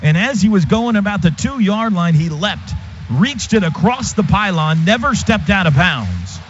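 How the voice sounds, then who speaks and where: Male football radio announcer, excited, raised pitch, dull broadcast sound, clear stadium noise. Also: unhurried. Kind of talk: live American football radio commentary. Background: loud crowd and stadium noise.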